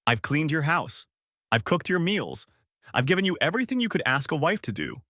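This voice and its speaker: A man's voice: on the high side, sing-song, fairly quick, pushed and bright, no echo, studio quality, pitched above the performer's own voice, exaggerated voice. A man reading a story aloud, voicing a distraught young wife who whines and pleads in a raised voice. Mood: pleading. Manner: whiny, tearful pleading.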